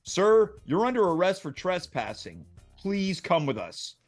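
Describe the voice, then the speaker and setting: A man's voice: high-pitched, big pitch swings, a little slow, faint music behind, full character voice. A man voicing a stern, formal, commanding security guard in a comedy script table read.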